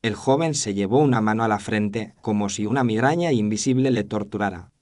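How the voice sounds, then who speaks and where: A man's voice: lowish voice, a little fast, a little mellow, no room sound. A man narrating a scripted first-person detective story in a formal, literary storytelling manner.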